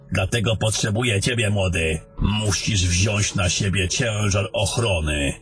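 A man playing an old, haughty, sardonic wizard in a fantasy audio drama, lecturing in a deliberate, grumbling manner over music. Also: fairly low pitch, a bit bright. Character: old haughty sardonic male wizard, gruff mentor to a soldier.

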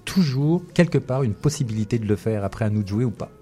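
Male co-host, casual and enthusiastic, dark warm timbre. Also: medium pitch, animated, fast talker, soft background music. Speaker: interviewer. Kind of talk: casual conversation.

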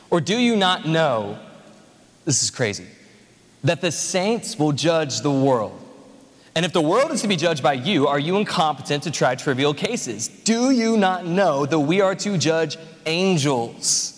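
A man's voice: on the high side, big pitch swings, measured pace. A man in his twenties preaching a conversational, joking sermon to a congregation. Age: 20s. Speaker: pastor. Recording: reverberant hall.

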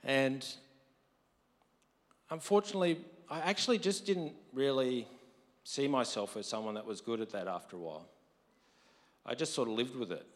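A married man preaching a conversational sermon, his voice deep from lack of sleep, rising to emphatic quotes. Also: moderate pace, long pauses. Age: adult, married. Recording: long hall reverb.

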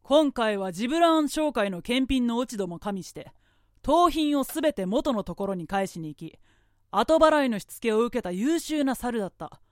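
A woman's voice: fairly high pitch, lively intonation, normal speed, no room sound, clean studio sound, natural voice. A woman playing a stern, authoritative merchant and judge in a radio drama, formal, imperious and composed. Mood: stern judging.

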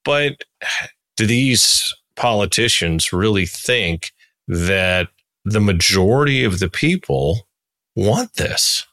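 A man's voice: fairly low pitch, lively intonation, slow, bright, forceful tone, no room sound. A man in his sixties hosting a conversational interview podcast, giving his opinions with emphasis. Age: 60s.

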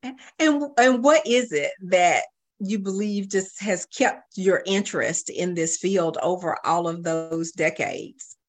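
A woman's voice: lowish voice, slow pace. A woman hosting a radio interview, asking questions in a conversational way. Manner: conversational, asks questions with restarts.

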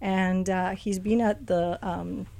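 A woman's voice: mid-range voice, steady tone, slow pace, creaky, mellow. A woman radio host chatting casually, telling stories with enthusiasm.

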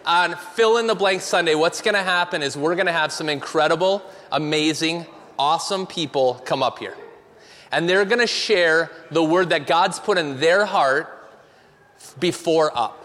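A man hosting a church service from the stage, his voice sitting fairly high for a man as he talks up the people around him with plenty of excitement. A stage mic carries his voice into a big hall with a long reverb tail. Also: unhurried. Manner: enthusiastic, hyping up the guest.

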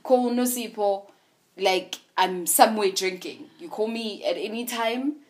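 A woman's voice: medium pitch, unhurried, darkish tone. Woman, twenties, podcast host, candid and reflective. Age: 20s. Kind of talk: casual conversation.

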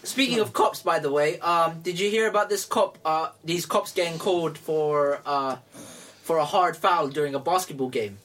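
Higher-voiced young London man, off-mic. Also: moderate pace, a bit bright, far from the mic. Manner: slangy, matey. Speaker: host of a talk show. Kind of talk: casual banter, recounting news stories. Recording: off-mic, background noise and clicks.

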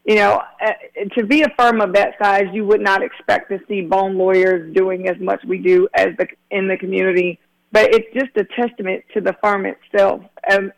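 A man's voice: high-pitched, poor audio. A man, a guest attorney talking earnestly in a casual radio interview with many restarts. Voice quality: raspy.

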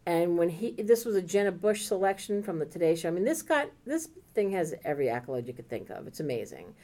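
A woman's voice: on the low side, big pitch swings, fast talker, dark tone. A woman co-hosting a podcast, talking enthusiastically about a favorite book in a rambling, casual way. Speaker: podcast co-host. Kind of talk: casual chat, book recommendations.

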